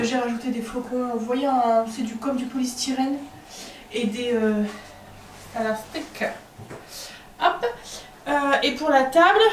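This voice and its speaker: A woman vlogging casually from home, with a stuffy nose from a cold, chatting with lots of filler pauses. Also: on the high side, reverberant, a little hiss or hum. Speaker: family vlogger. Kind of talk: casual home vlog chat.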